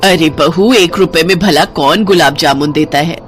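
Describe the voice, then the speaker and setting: A woman's voice: deep voice, normal speed, soft background music, lightly acted. A woman playing a caring elderly village mother-in-law in a storybook tale, speaking earnestly. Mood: reproving.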